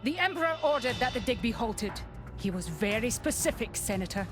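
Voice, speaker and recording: A woman's voice: on the high side, expressive, brisk, music in the background, natural voice. Woman acting a stern, commanding imperial inquisitor. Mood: stern accusing. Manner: formal, authoritative. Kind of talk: dramatic video game acting.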